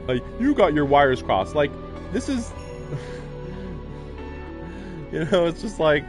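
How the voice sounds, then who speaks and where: A man's voice: on the high side, sing-song, slow pace. A man streaming a visual novel, reading its text aloud and reacting in a rambling, casual way, with swearing and short laughs. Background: game music.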